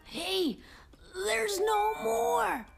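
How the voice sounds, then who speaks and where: A naive little girl in a kids' cartoon, prone to fretting and gloom. Her voice is very high and slow, set against background music. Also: lively intonation, creaky, full character voice. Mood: queasy whining. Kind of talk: children's cartoon voice acting. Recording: music behind the voice.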